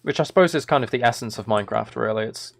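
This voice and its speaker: A man's voice: mid-range voice, fast, gravelly, fairly bright tone. A man livestreaming a game with casual commentary, muttering to himself in a mildly exasperated way. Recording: keyboard typing and clicking noise. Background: keyboard and mouse clicks.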